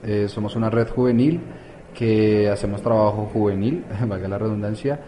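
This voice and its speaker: Hesitant man, mellow voice. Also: lowish voice, fairly even intonation, moderate pace, a little hiss or hum. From Colombia. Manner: hesitant, many fillers and restarts. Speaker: youth activist guest. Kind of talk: casual interview answers.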